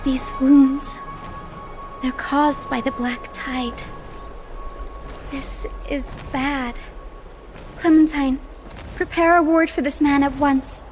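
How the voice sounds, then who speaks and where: A woman playing a composed, compassionate healer in a video game, speaking formally and with measured care. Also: sits high, flat delivery, soft background music. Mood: concerned. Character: composed, compassionate female healer giving orders. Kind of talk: video game character acting.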